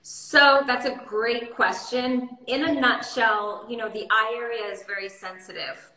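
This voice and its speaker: A woman skincare expert and host, explaining in a relaxed, casual way during a demo chat. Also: a little high, a little slow, roomy sound, poor audio. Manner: relaxed, explaining with many fillers.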